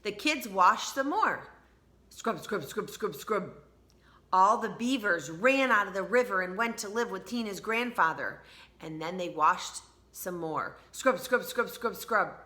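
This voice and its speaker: A woman teacher reading a storybook aloud to children, expressive and speaking to them directly, with drawn-out emphatic words. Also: medium pitch.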